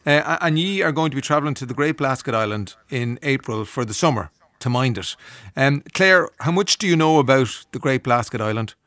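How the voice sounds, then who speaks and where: A man's voice: medium pitch, lively intonation, fairly quick, fairly bright tone, no room sound. An Irish man hosting a radio show, chatting easily and reading out listener messages. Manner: easygoing, chatty, reads messages aloud. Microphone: studio microphone.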